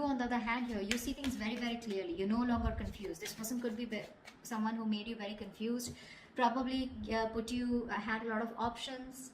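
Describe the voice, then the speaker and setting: A woman giving an intuitive tarot reading straight to the viewer, conversational, often checking in with little tag questions. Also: fairly high pitch. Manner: conversational, repeats tag questions like okay and you know. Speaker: tarot reader. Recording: echoey room, clear background noise, clicks.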